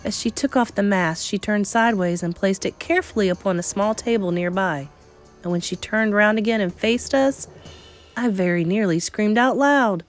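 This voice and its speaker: A woman reading a children's novel aloud as dramatic narration, with suspenseful emphasis and deliberate pauses. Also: medium pitch, faint music behind. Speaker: storybook reader.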